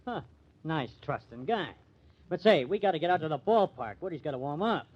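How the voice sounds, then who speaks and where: A man's voice: fairly high pitch, lively intonation, brisk, breathy edge, dull audio. A man playing a loyal, goading ballplayer pal in an old-time radio crime drama, protesting and wisecracking with an American accent.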